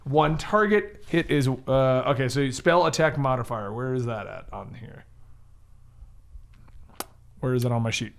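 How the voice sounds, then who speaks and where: A man chatting casually and excitably as a player in a tabletop role-playing game, jokey and quick to laugh. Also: medium pitch, vocal fry.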